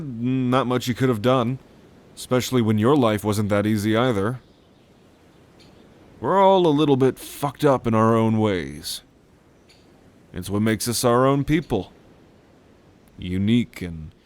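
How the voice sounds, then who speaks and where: Guy doing a weary blunt dragon big brother, slow n wry, audio roleplay, protective type. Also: medium pitch. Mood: reassuring. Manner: wry, unhurried storytelling with cursing.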